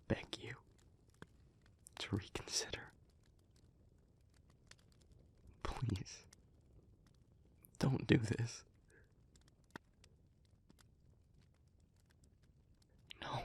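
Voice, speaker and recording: A man playing an anguished, tender demon in an intimate audio roleplay, with a breathy, husky voice that often drops toward a whisper and a halting, weighted delivery. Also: mid-range voice, no room sound. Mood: quiet, pleading. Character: anguished demon pleading with a beloved human, tender.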